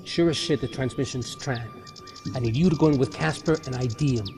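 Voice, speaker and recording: A commanding American squad leader acted by a man gives orders in a mid-pitched, terse voice, as in a war game cutscene. The sound rings with long reverb under loud music. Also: a little fast, natural voice. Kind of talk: video game cutscene acting.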